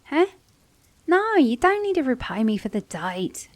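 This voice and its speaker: A woman's voice: sits high, big pitch swings, a little slow, a little mellow, dry recording. A woman voicing a flirty, sassy, caring mechanic in an intimate ASMR romance roleplay, teasing the listener as her date. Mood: scolding, concerned. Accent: British or Australian.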